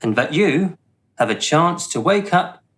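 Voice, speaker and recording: A man playing a smooth, condescending afterlife official in a radio play, officious and deliberate in manner. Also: on the high side, animated, fairly bright tone. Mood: smugly amused. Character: smooth, condescending male afterlife bureaucrat judging the dead.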